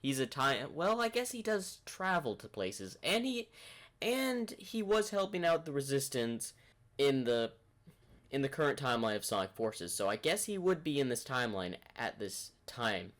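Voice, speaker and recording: A man's voice: medium pitch, big pitch swings, moderate pace, a bit bright. A man hosting a reaction video with casual commentary, rambling as he thinks aloud.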